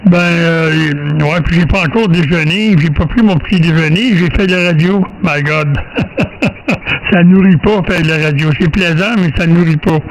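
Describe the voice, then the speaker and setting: A man's voice: fairly high pitch, normal speed. Quebec man chatting over faintly noisy ham radio. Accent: Quebec French. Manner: hesitant, repeats words, rambling. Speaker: amateur radio operator. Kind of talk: casual radio contact chat. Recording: amateur radio recording, faint static.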